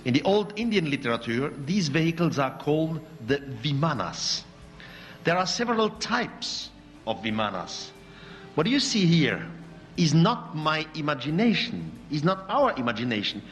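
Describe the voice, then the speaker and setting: A man's voice: fairly high pitch, very animated, normal speed, a bit bright. A man whose first language is Swiss German, giving a lecture in accented, searching English with occasional slips. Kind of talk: public lecture. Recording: hall recording with faint music.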